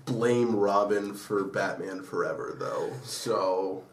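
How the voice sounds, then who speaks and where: A man with a lowish voice chats casually as a podcast co-host. He is informal and blunt, often halting mid-thought. The recording is echoey, with the voice sitting back from the microphone. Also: slight background noise. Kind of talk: casual chat about pop culture. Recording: roomy echo, distant from mic.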